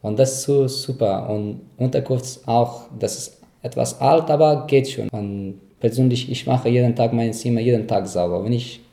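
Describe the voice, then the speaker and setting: A man from Afghanistan, a native Dari speaker with an Afghan accent, giving casual interview answers and haltingly searching for words. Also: medium pitch, creaky, warm tone. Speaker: interviewee, asylum seeker. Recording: roomy, echoing sound.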